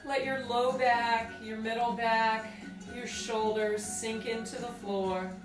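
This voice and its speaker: A woman leading a guided meditation with slow, deliberate, soothing phrasing and pauses. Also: a little high, little pitch movement. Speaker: group exercise coordinator leading guided meditation. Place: indoors on a rug by firelight. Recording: roomy, distant mic, music behind.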